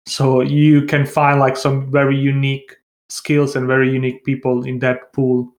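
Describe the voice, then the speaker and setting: A man with a mid-pitched voice talks in a roomy, echoing space. He is an interview guest, speaking informally and hesitantly in a fairly flat tone, with plenty of filler words. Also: moderate pace. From born in Bosnia, grew up in Denmark. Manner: informal, hesitant, frequent "like" and "you know". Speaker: interview guest, tech company founder. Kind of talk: casual podcast interview.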